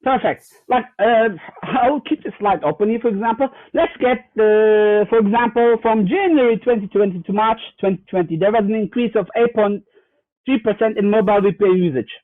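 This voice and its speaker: A man's voice: sits high, fairly quick, no room sound. A man from Germany, a guest cybersecurity executive in a fireside chat, explaining with examples in an earnest way full of hesitant fillers and restarts. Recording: tinny phone-like remote line, dry.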